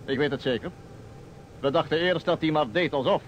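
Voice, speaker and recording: A man's voice: on the high side, fairly quick, natural voice. A man acting a wry, gossipy small-town baker being questioned in a Dutch radio play. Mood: insistent. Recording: dull old radio recording, faint noise.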